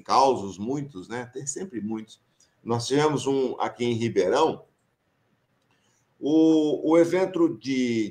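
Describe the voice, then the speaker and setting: A man's voice: fairly low pitch, darkish tone. A middle-aged Brazilian man with a São Paulo countryside accent, a professor reminiscing casually, laughing often and acting out quoted dialogue. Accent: Brazilian Portuguese, São Paulo countryside. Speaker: professor and association founder.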